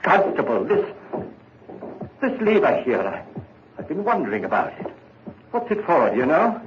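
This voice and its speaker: Jumpy murderer who stammers, 1940s radio play, muffled with hiss. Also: male voice, fairly high pitch, huge pitch swings, normal speed. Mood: panicked. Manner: jittery, stammering, rising to panic. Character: nervous guilt-ridden young male murderer. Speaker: radio drama lead. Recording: dull old radio recording with hiss.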